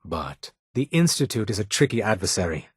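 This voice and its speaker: A fussy, sardonic male resistance intelligence officer from a video game, with a slightly rough, husky voice. He speaks formally and condescendingly in clipped phrases, his pitch swinging with dry sarcasm. The sound is close and dry. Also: big pitch swings, a little fast, dry recording, exaggerated voice. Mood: brisk, businesslike. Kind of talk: video game character dialogue.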